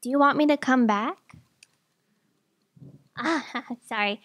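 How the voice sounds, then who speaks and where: A woman playing a cheeky, excitable fact checker in a staged comedy audio drama, with a bubbly, gushing manner. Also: high voice, slow, dry recording. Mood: perky. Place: theatre stage. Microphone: stand microphone.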